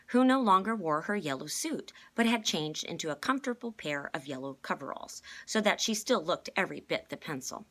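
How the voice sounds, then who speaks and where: A woman reads a storybook aloud as narrator. Her voice sits low and has a husky grain. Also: a bit bright. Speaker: reader.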